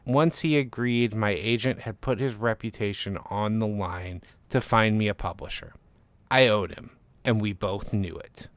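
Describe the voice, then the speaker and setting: A man's voice: lowish voice, a little slow, clear, resonant tone, no echo. A man hosting a fiction podcast and reading a scripted first-person short story as narrator.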